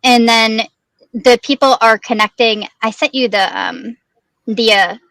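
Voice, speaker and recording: A woman's voice: a little high, unhurried, gravelly, fairly bright tone, no room sound, low-quality recording. A woman talking casually through a news case on a true crime stream, explaining things in a tentative way. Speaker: guest commentator on a true crime livestream.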